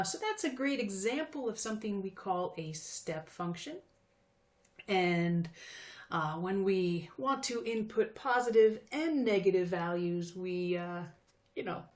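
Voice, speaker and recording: A woman's voice: mid-range voice, darkish tone. A woman in her fifties teaching math in a recorded video lesson, chatty and friendly, with frequent fillers. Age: 50s.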